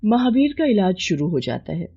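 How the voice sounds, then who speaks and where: A woman narrates a scripted moral tale. Her voice is mid-pitched, dark and husky. The recording sounds a little dull. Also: big pitch swings, moderate pace.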